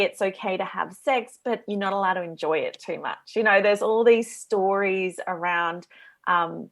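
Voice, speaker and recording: A woman's voice: lowish voice, creaky. A woman with an Australian accent, a guest coach speaking earnestly and eagerly in a radio interview.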